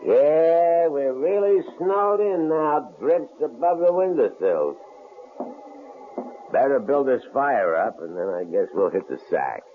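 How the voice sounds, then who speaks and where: A man's voice: mid-range voice, sing-song, takes it slowly. An actor playing a menacing, cold-blooded male intruder in an old radio drama, taunting and leering. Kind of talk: 1940s radio acting. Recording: tinny old radio broadcast with faint hiss.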